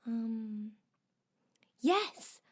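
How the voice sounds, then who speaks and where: A woman's voice: on the high side, expressive, very slow, heavily acted. An actress playing a curious, imaginative young girl who can turn anxious, asking eager questions in an audio drama. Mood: wondering.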